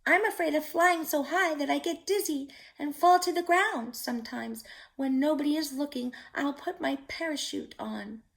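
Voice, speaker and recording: A woman's voice: high voice, normal speed, creaky, pitched above the performer's own voice. A woman voicing a small timid bird in a storybook, chirpy and tentative as it offers help. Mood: timid, helpful.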